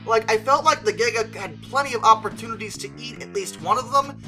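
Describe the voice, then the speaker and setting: This is an opinionated reviewer speaking conversationally in a bright, punchy voice of medium pitch. Key words get stretched and stressed for emphasis. Faint music plays behind the commentary. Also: fairly quick, rough recording. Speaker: video creator and host.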